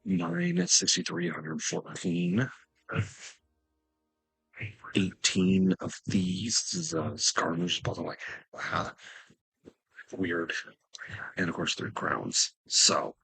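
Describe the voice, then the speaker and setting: A man giving casual gameplay commentary as a video host, thinking aloud in a halting mutter to himself. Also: sits low, gravelly, a bit bright, slightly rough, no echo, poor audio.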